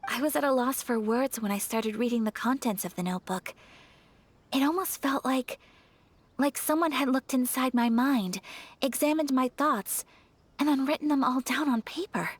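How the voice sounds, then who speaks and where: A woman playing a refined young noblewoman in a video game, gentle and earnest, speaking softly in formal, measured phrases. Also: high-pitched. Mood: reflective. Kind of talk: video game character acting. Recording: soft music behind the voice.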